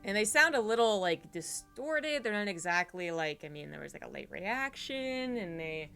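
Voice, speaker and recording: A woman's voice: mid-range voice, lively intonation, normal speed, vocal fry, bright, forceful tone, clean tone, faint music behind. A woman narrating a tabletop horror game as game master, rambling with fillers and restarts.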